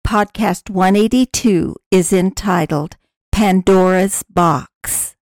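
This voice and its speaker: A woman's voice: medium pitch, slow pace, a little mellow, no echo. Woman narrator in a dry, close recording. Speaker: podcast announcer and reader. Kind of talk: scripted narration, reading a personal essay aloud.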